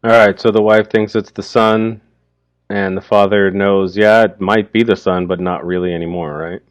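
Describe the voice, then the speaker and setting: A man's voice: on the low side, fairly even intonation, normal speed, no room sound. A man commenting informally on a short story, thinking aloud and asking rhetorical questions. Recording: dry, slightly dull lo-fi recording.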